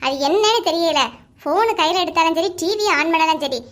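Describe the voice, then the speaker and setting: Woman as a sulky, high-pitched Tamil teenage girl. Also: a little fast. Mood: grumbling. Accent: Kanyakumari Tamil. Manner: whiny, complaining. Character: sulky teenage daughter who grumbles and talks back. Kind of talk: cartoon comedy dialogue.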